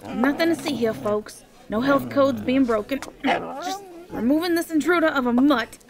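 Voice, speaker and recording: A woman's voice: high-pitched, expressive, moderate pace. Outspoken young woman over busy chatter, acted. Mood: exasperated. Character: bossy, caring young woman, meddling best friend. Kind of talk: audio drama acting.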